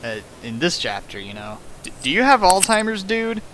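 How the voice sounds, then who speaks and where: A man's voice: sits high, big pitch swings, normal speed, a bit bright, slight background noise, low-quality recording. A man casually riffing on a text he reads aloud as host, in a sarcastic, mocking manner. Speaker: host of a fan fiction dramatic reading.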